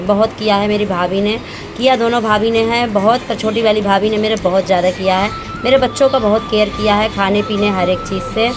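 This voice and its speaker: Slightly hoarse chatty woman vlogger. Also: medium pitch, background noise. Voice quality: chatty, homely, rambling with fillers. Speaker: family vlogger. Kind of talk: casual home vlog chat.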